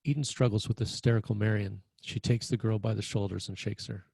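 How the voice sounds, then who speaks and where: A man's voice: lowish voice, steady tone, fairly quick, creaky, a little mellow, husky, dry recording. A man reading scripted stage directions as the narrator of a screenplay table read.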